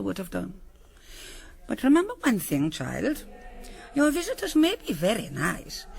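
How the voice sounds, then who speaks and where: An actress playing a stern, pious Reverend Mother of a convent in a radio drama, admonishing with deliberate authority. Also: mid-range voice, gravelly.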